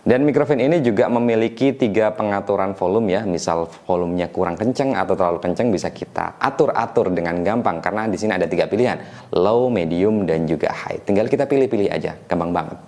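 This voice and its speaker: A man with a stuffy, nasal voice from a cold, explaining microphone setup in a relaxed, chatty tutorial. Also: sits low, fast talker. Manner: relaxed, chatty, frequent tag questions. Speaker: tech reviewer teaching mic setup.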